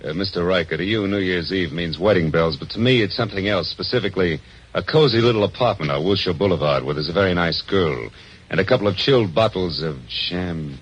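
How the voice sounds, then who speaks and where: An American man acting a wry, hardboiled private detective in a 1940s radio drama, deadpan and clipped, on an old recording. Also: deep voice, a little fast, slightly rough. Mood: dry, skeptical. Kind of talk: 1940s radio drama acting, first-person noir narration. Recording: muffled old-time radio recording with music.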